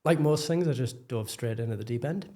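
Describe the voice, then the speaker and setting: A man's voice: medium pitch, a little fast, warm tone. A man with a Geordie accent, a property developer talking candidly and self-deprecatingly as a podcast guest. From northeast England. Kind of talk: casual interview chat.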